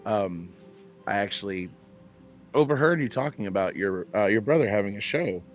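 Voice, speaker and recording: A friendly, inquisitive fantasy adventurer played by a man in a tabletop game. The pitch is fairly low and the sound is dull and lo-fi, with faint music behind. The talk is polite and chatty, with repeated words. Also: lively intonation, normal speed, close to an everyday voice. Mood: friendly, curious. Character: friendly, polite, curious adventurer with bugbear lineage.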